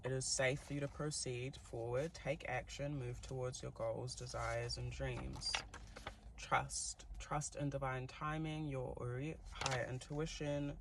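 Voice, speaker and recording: NZ-accented man, chatty tarot reader, gravelly tone, faint background noise. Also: mid-range voice, fairly even intonation, a little slow. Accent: New Zealand. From New Zealand. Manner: chatty and giggly, many fillers. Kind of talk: casual tarot reading to camera.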